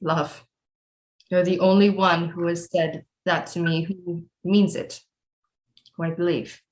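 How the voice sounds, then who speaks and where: A woman's voice: fairly low pitch, little pitch movement, takes it slowly. A woman acting a haughty, scheming, lovelorn Renaissance queen in an audio play, speaking formal, archaic lines theatrically. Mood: wistful. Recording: roomy echo with music behind.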